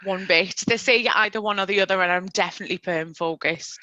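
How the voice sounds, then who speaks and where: Geordie woman, recruiter guest on a podcast; friendly, crisp bright tone, dry close sound. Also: mid-range voice, brisk, no echo, lo-fi sound. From Newcastle, England. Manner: friendly, explains steadily with fillers. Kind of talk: casual podcast interview.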